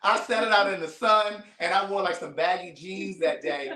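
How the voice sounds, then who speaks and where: A man hosting a casual chat, telling stories in an animated, playful, rambling way. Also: sits high, moderate pace. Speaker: host. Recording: echoey room.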